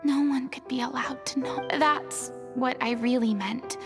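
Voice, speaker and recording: A woman's voice: high-pitched, fairly even intonation, unhurried, music in the background. A woman voicing a proud, guarded young woman in a video game, theatrical and earnest, with a weary, wistful edge. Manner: dramatic, sighing, earnest.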